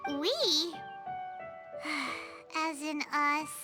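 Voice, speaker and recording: A woman's voice: sits high, flat delivery, very slow, music in the background. A woman voicing a small childlike fairy companion in an anime game, cutesy and easily indignant. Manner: cutesy, speaks of herself by name.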